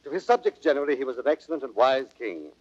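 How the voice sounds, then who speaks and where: A man's voice: mid-range voice, very animated, rapid-fire, dry recording. A man giving scripted, emphatic dramatic narration for an audio play, on an old recording. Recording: dull vintage audio recording.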